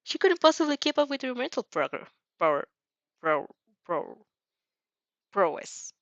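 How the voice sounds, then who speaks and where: Lively woman reading a story, dull dry recording. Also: fairly high pitch, a little slow, no echo. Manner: stumbles over longer words. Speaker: fan fiction reader. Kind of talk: expressive second-person story narration.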